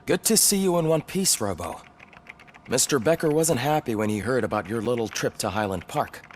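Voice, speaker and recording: A man's voice: medium pitch, lively intonation, brisk, a little hiss or hum, natural voice. An actor playing a chummy male systems technician in a video game, familiar and conversational.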